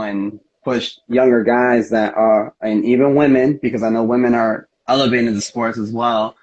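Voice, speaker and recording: Muffled lo-fi sound, man, medium pitch, casual interview guest, earnest rambling talk. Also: darkish tone. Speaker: MMA fighter, interview guest. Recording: dull lo-fi audio.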